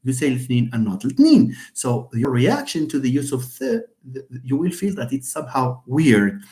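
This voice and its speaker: A man's voice: fairly high pitch, warm tone. A man giving a linguistics lecture in a classroom. He asks questions and then answers them himself.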